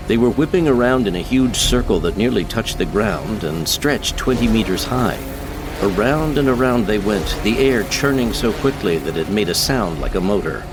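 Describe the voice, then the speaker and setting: A man's voice: fairly low pitch, noisy background. A man giving scripted, dramatic narration of science fiction as an audiobook narrator.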